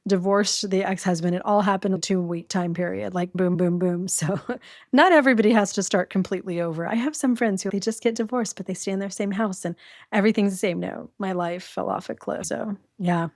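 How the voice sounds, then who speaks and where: A woman's voice: mid-range voice, fairly quick, a little mellow, no room sound, studio quality. A middle-aged woman, a podcast guest, candidly telling her own story in a conversational interview. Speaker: guest, domestic violence survivor.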